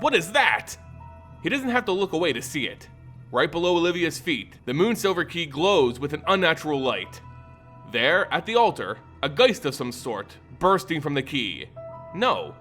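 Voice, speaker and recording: A man giving a dramatic, theatrical scripted narration of a fantasy story, with deliberate emphasis. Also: fairly high pitch, very animated, fairly bright tone. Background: music.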